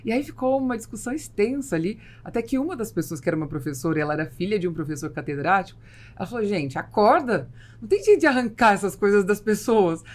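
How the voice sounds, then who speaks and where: Woman, Brazilian accent, podcast host, rapid speech, animated storyteller. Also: mid-range voice. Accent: Brazilian Portuguese. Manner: animated, tells anecdotes, asks long questions. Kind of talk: business podcast interview.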